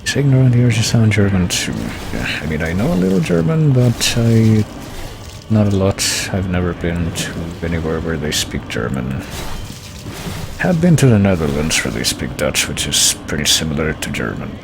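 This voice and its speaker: A man's voice: deep voice, sing-song, moderate pace, creaky, background noise. A man streaming a video game, rambling and thinking aloud as he plays. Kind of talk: gameplay commentary.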